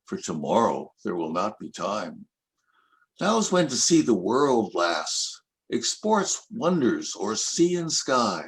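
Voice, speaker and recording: An older man in his seventies reading his own poems aloud, with measured, expressive recitation. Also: mid-range voice. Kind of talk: poetry reading at an event.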